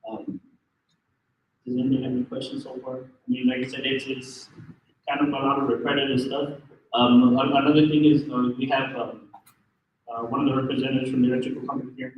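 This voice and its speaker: A man with a naturally loud voice gives a public safety briefing, pausing often on ums and uhs and restarting his sentences. He sounds far from the microphone in a very echoey room, with a faint hum behind him. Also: medium pitch, flat delivery, muffled recording. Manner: hesitant, many fillers and restarts. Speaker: fire marshal office representative.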